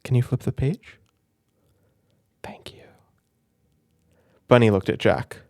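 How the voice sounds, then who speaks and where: A man speaking in a gentle, doting, playful way, as in a soft ASMR roleplay where a caring big brother reads a children's book aloud. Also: medium pitch, takes it slowly, no echo. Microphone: close microphone, dry room.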